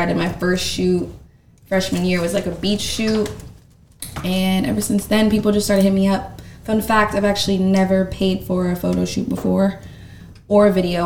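A young woman talks casually as a guest in an echoey room with a faint layer of noise. She has a mid-range voice and a playful, slangy, self-assured way of speaking. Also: mid-range voice. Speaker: guest singer-songwriter and model. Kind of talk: casual chat.

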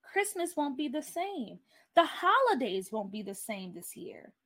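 A woman's voice: fairly high pitch, animated, very slow, mellow, heavily acted. A woman voicing a sad, withdrawn young girl in a story, with a subdued, murmuring, glum manner. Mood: sad, groaning.

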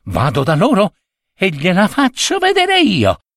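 A man's voice: high voice, huge pitch swings, a little slow, a bit bright, no room sound, studio quality, voice pushed up, exaggerated voice. A man voicing a flustered young male print-shop boy who stammers breathlessly. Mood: eager.

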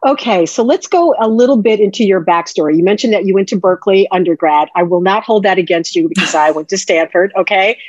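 A woman hosts a casual interview in a lively, encouraging way, drawing her guest out. Her voice is dry and close, with no room sound around it. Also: mid-range voice, fast, hardly any pauses, no room sound, dull audio. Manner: upbeat and encouraging. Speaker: host.

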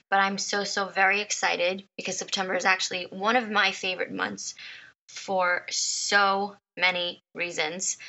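Woman in her 30s doing her podcast, kinda raspy from crying, bright gravelly voice, repeats herself a lot. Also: medium pitch, muffled recording. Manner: emotional, repeats words, laughs often. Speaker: podcast host. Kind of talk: personal storytelling podcast.

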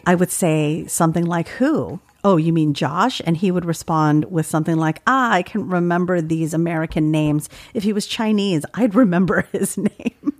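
A woman's voice: lowish voice, normal speed, few pauses. A woman co-hosting a podcast, reading listener letters aloud in casual chat and laughing often. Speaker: podcast co-host.

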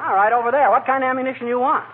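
A man's voice: very high voice, steady tone, racing, over a noisy crowd. A man playing a cocky, bumbling, folksy husband, breezy and jokey in the manner of 1940s radio comedy. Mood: brisk, obliging. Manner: breezy, jokey, over-familiar. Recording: tinny, noisy old radio recording.

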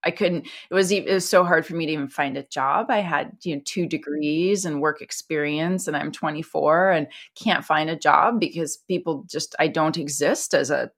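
Lower-voiced woman in her forties, interview guest, rambling and conversational. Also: normal speed, few pauses. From North America. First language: Italian. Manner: chatty with many fillers, voices others in quotes. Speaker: guest, investor and entrepreneur. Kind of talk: casual conversational storytelling.

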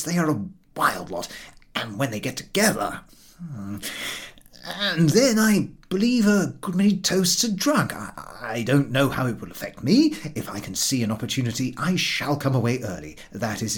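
A man's voice: mid-range voice, sing-song, normal speed, husky. A man voicing a genteel, mildly sheepish husband in a storybook reading, speaking with polite hesitation. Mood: hesitant, evasive.

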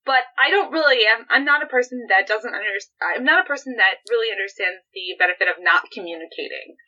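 Candid high-voiced young woman, lo-fi sound. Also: animated, a little fast, bright, forceful tone. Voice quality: high-pitched, girlish voice. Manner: blunt, candid, chatty with frequent restarts. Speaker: podcast guest. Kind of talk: casual interview chat. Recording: dull lo-fi sound.

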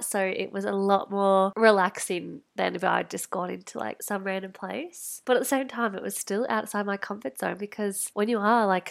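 A woman's voice: medium pitch, vocal fry. A woman hosts a casual solo podcast, chatty and full of fillers, her nasal voice stuffed up by a cold. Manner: chatty, uses lots of fillers. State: sick with a head cold. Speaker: podcast host.

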